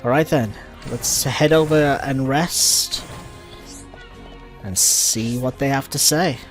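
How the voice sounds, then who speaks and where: A man's voice: mid-range voice, takes it slowly. A man giving casual commentary while playing a video game. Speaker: let's play host. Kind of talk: casual game commentary, reads dialogue aloud. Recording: game music behind the voice. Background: video game music.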